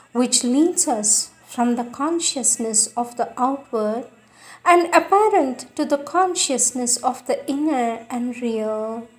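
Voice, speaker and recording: Woman reading spiritual stuff aloud, really slow n solemn, voice a bit high. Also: a little mellow, rough recording. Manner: measured, solemn, deliberate phrasing. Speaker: reader of spiritual texts.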